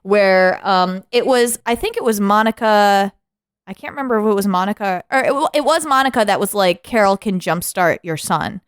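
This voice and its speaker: A woman's voice: medium pitch, moderate pace, clean tone, no echo, clean studio sound. A woman co-hosting a podcast, rambling through scenes in casual chat, swearing and laughing, and acting out the lines she quotes. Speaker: podcast co-host.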